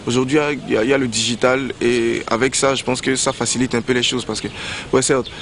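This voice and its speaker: Halting male artist on a noisy street. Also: mid-range voice. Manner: relaxed, halting with many restarts. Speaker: artist interviewed in a report. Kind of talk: casual interview answer. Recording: outdoor street recording with traffic noise.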